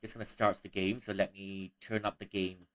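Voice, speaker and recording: A young man from Malaysia chatting casually on a livestream, rambling with frequent restarts and soft laughs. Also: deep voice, little pitch movement, moderate pace.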